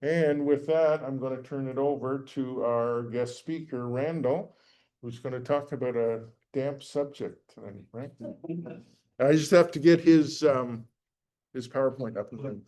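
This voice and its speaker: A man's voice: medium pitch, measured pace, warm tone. A man hosting a nature club meeting, giving casual announcements with lots of fillers and restarts. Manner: rambling with many fillers and restarts.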